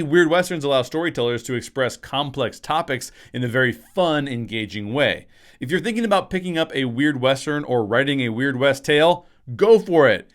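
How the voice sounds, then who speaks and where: A man in his thirties, a writer hosting his channel, giving a scripted explainer in an energetic way, talking into a desk microphone in a small home studio. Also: mid-range voice. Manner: energetic, gestures a lot with both hands. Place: small home studio room with framed posters. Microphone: desk microphone on a stand in front of him.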